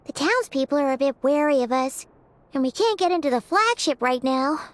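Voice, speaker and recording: A woman voicing a small, excitable, childlike fairy companion in a video game, exclamatory and nosy. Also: high-pitched, a little fast, a little hiss or hum. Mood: curious chatter. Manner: refers to self in third person, exclamatory. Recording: music behind the voice.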